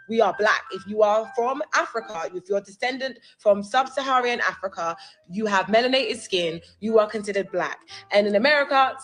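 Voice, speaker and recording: A woman's voice: mid-range voice, a bit bright. A woman talking straight to camera in a social media clip, earnestly explaining her view point by point. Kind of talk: direct-to-camera social video.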